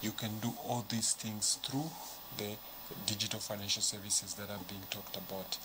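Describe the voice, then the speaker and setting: A man with a Zambian accent speaking as a panel guest, measured and explanatory, walking through examples. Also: fairly low pitch, fairly even intonation. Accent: Zambian English. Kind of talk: studio panel discussion. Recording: noisy studio recording.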